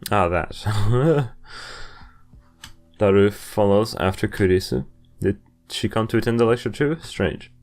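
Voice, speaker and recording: A man's voice: fairly low pitch, unhurried, pauses often, a little mellow, soft background music. A man in his twenties hosting a playthrough, reading game narration aloud with casual asides. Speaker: gaming video host. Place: indoor room, webcam in corner.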